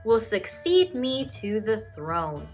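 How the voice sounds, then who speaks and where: A woman teacher reads to children in an unhurried, deliberate storytelling voice. Her intonation is very animated, rising and falling to give phrases emphasis, with music present in the recording. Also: medium pitch. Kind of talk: expressive children's storybook reading.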